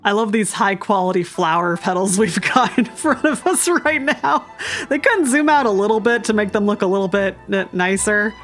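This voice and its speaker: Young woman, very animated casual game commentary. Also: medium pitch, normal speed, soft background music. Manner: irreverent, giggly, slangy. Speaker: gaming streamer.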